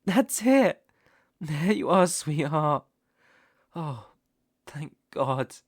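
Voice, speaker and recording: A man acts out a possessive, lovesick stalker with a British accent in an intimate audio roleplay. His speech is slow and coaxing, with a creaky edge, often sinking toward a whisper. The sound is close and dry. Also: a little high, expressive, no room sound, exaggerated voice. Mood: anxious, pleading. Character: obsessive, tender yandere stalker, softly possessive man. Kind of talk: intimate ASMR roleplay acting.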